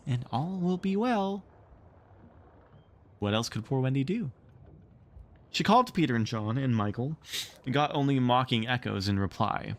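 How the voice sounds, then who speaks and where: A man narrating a storybook read-along with expressive, theatrical storytelling. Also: mid-range voice. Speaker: streamer reading a book aloud.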